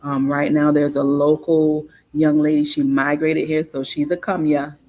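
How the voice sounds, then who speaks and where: A woman, a guest in a casual interview, explaining things in an unhurried, informative way with frequent fillers and restarts. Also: low-pitched, level tone, a little mellow. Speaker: guest on cultural topics.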